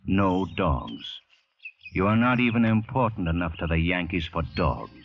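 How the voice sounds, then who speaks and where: A man acting a grave, intense narrator in an old-time radio drama, deliberate and theatrical, with an ominous manner. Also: sits low, very animated, very noisy, heavily acted. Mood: weary strain. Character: grave, intense second-person storyteller narrator. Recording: muffled vintage radio recording.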